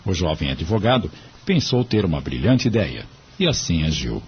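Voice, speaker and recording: A man's voice: deep voice, sing-song, normal speed. A Brazilian man narrating a scripted audio drama story. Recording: muffled audio with background noise.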